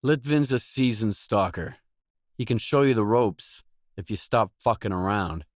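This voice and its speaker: A male actor playing a weary, tough, guarded stalker mercenary in a video game, speaking in blunt, deadpan lines. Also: on the low side, a little slow, dry recording, clean studio sound. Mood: curt, menacing. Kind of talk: video game voice acting, isolated dialogue lines.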